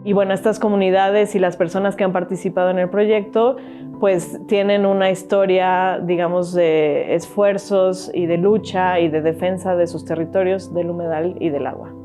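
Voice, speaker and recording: A woman's voice: fairly low pitch, steady tone, moderate pace, warm tone, soft background music. A woman giving an explanatory interview in the manner of a documentary, describing a project. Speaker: project organizer.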